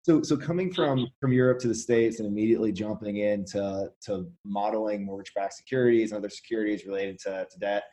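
A man's voice: lowish voice, fast talker, few pauses, mellow, low-quality recording. A man hosting a podcast interview, upbeat and eager, chatting casually with frequent fillers and restarts. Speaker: podcast host.

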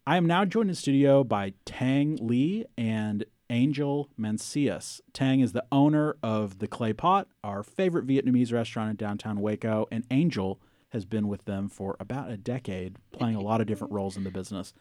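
A man hosting a public radio interview show in a studio, friendly and measured. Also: fairly low pitch, darkish tone, no echo. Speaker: radio host. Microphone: studio microphone.

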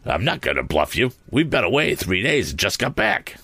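A man's voice: low-pitched, very animated, moderate pace, sharp tone, husky, dry recording, full character voice. A man voicing a teenage bully in a storybook who earnestly and defensively protests his innocence. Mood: surprised. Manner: defensive, earnest, plain-spoken.

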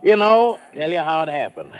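An actor playing a boisterous, naive American tourist in an old-time radio drama, effusive and folksy, often repeating himself. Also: male voice, a little high, lively intonation, moderate pace, clear, resonant tone, muffled recording, close to an everyday voice. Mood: chummy.

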